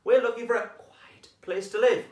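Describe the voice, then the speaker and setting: A storybook reader voicing a trio of noisy, eager foxes in a high voice that keeps dropping to a whisper. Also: steady tone, normal speed, lightly acted. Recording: roomy echo, voice far from mic.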